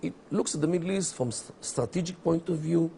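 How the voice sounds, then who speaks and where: A middle-aged man from Israel, not an English speaker, giving deliberate interview answers full of pauses and fillers. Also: on the high side, vocal fry, dark tone, slight background noise. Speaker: interview guest, academic. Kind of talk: measured explanatory interview answers.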